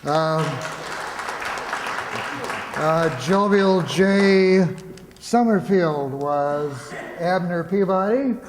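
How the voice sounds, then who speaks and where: A man hosting a stage show, giving informal thanks to an audience in a halting, rambling, jokey way. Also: high voice, some chatter behind. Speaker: host of a radio players' stage show. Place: stage in a hall before a live audience. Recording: echoey hall, audience, background noise.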